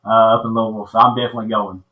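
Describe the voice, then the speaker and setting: A man's voice: lowish voice, flat delivery, sharp tone. A man in his thirties hosting a casual live podcast chat, often stalling on repeated words and "uh". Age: 30s. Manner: halting, repeats words and fills with uh. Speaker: podcast host. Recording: echoey room.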